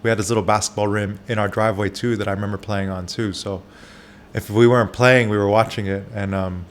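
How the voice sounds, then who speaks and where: A man who grew up in Japan, a barber, answering interview questions candidly, with soft pauses and frequent fillers. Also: fairly low pitch, fairly even intonation, a little hiss or hum.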